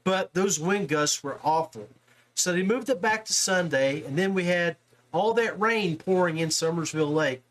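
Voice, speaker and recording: A man's voice: high-pitched, normal speed, low-quality recording. A man hosting a casual sports podcast interview, talking in a chummy, familiar way with guest and listeners.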